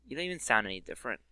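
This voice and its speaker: A man's voice: medium pitch, bright, forceful tone, a little hiss or hum. A man hosting a gaming podcast, chatting casually and teasing his co-host. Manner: teasing, joking. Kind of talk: casual chat.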